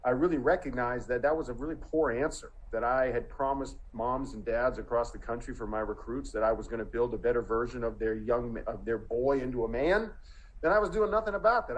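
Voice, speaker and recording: A man's voice: mid-range voice, low-quality recording. Earnest man in his forties on a video call. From Montana. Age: 40s. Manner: earnest, thoughtful, with frequent restarts. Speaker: coach and panelist. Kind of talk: reflective panel discussion. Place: indoor room, video call.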